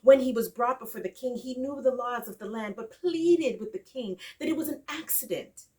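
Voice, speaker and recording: A woman telling a folktale in an expressive, dramatic style with deliberate phrasing and emphatic repetition. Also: a little high. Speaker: storyteller. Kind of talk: expressive oral folktale storytelling. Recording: distant microphone.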